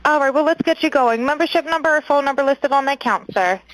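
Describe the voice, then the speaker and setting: A woman's voice: sits high, fast, fairly bright tone, a little hiss or hum. A woman working as a dispatcher on a recorded phone call, asking questions in a polite, businesslike way. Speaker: marine towing dispatcher.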